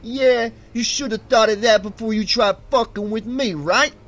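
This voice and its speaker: A man voicing a burly, violent male thug who shouts curses in a Spanish-tinged accent. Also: high-pitched, moderate pace, pitched above the performer's own voice. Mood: menacing, shouting. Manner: shouting, snarling insults.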